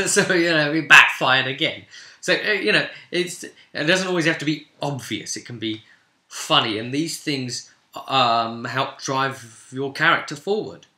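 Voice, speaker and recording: A man's voice: medium pitch, a little slow, sharp tone. A man, a game master, gives a casual, rambling recap of a tabletop role-playing session. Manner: rambling, chuckling storyteller who acts out dialogue. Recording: echoey room sound.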